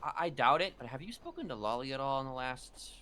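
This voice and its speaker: A man's voice: mid-range voice, quick pace. A man chatting casually in character during a roleplay, hesitant and full of fillers. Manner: animated, hesitant, filler-heavy.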